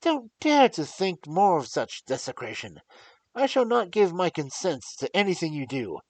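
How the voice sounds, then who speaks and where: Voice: mid-range voice, big pitch swings, normal speed, no echo, pitched above the performer's own voice, poor audio. A reader voicing an outraged young nobleman. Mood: furious. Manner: loud, outraged, impassioned. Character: passionate young male nobleman, grieving. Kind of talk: audiobook character reading.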